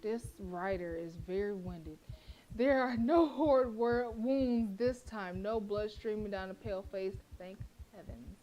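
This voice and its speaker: A woman streamer reads a horror visual novel aloud with a mid-pitched voice, giving the text a dramatic delivery. She keeps cutting in with profane, joking asides. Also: a little mellow, slight background noise, rough recording.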